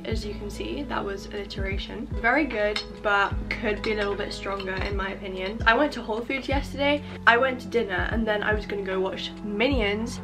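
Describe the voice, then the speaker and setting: A young woman chatting to camera in a casual vlog, rambling and self-mocking, recorded in her bedroom on a handheld camera. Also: medium pitch. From England. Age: young adult. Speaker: vlogger. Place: bedroom at home. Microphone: camera at arm's length.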